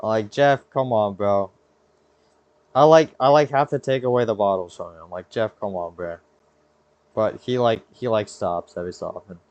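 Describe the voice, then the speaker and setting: A man's voice: on the low side, normal speed, darkish tone, no room sound, highs cut off. A young adult American man hosting a podcast in a casual, slangy, rambling way, often cutting himself off mid-thought. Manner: slangy, rambling, self-interrupting. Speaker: podcast host. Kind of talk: casual chat.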